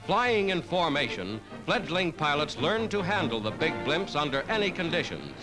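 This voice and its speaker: American male newsreel narrator, 1940s style, declamatory, higher-pitched, vintage soundtrack, orchestral music clearly behind. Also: sing-song, moderate pace, rough recording. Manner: dramatic, declamatory announcer delivery.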